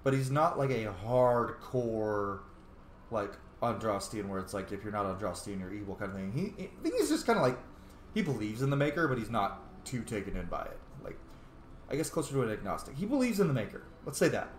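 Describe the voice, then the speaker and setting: A man's voice: fairly low pitch, sing-song, fairly quick. A man giving informal, rambling commentary on a video game playthrough, thinking aloud. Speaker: game streamer, role-player. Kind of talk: casual playthrough commentary, reading dialogue choices in character. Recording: roomy, noisy home recording.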